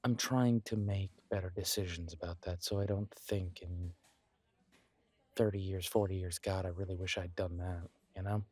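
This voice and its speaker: A man playing a friendly, reassuring homebody best friend in an intimate one-sided roleplay audio, talking casually in halting, gently encouraging phrases. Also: low-pitched, fairly even intonation, husky, dry recording. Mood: gentle, reassuring.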